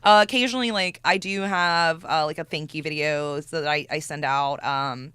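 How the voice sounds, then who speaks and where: A young woman guest on a podcast with a deep, slightly raspy voice, chatting casually, laughing often and swearing freely. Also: moderate pace, a bit bright, no room sound, studio quality. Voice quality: deep, raspy at times from vaping. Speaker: podcast guest, content creator.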